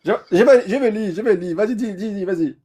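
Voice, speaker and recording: A man with a slightly raised pitch argues in a heated online debate over a video call. He talks sarcastically, cuts in constantly, and gets flustered as he pushes back. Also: fast, dark tone, slightly rough.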